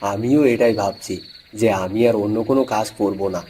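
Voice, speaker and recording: An actor playing a kindly, modest village tea seller in a cartoon, with a gentle, homely manner. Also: male voice, fairly low pitch, fairly quick, a little hiss or hum, natural voice. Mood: homely, content. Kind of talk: cartoon voice acting. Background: faint music.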